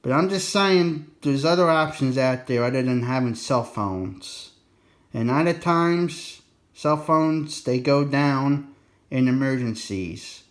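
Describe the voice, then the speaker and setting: A man's voice: mid-range voice, slow. A man giving a casual, unscripted explainer in a folksy, colloquial way, rambling a bit and repeating his warnings for emphasis. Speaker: presenter of an instructional radio video.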